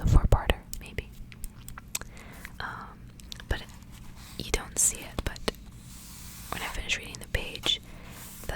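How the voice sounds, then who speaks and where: A woman whispering softly and gently in a hushed ASMR style, as a host who reads stories aloud. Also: rough recording. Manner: soft, hushed, gentle delivery.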